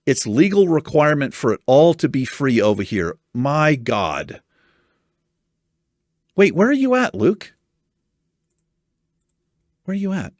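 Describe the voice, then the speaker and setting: A chatty American man with a soothing voice reads viewer comments in a lively, swinging way. He swears casually and talks like he's among friends. The sound is dry and close, with no room echo. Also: on the high side, slow, long pauses. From United States. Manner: animated, chatty, swears casually, laughs often. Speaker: YouTube host reading viewer comments. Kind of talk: casual chat.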